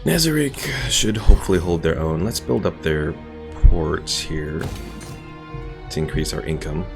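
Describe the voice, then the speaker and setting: Deep, gravelly gaming streamer. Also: male voice, measured pace. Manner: relaxed, thinking aloud, chatting to viewers. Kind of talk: casual let's-play commentary. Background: game music.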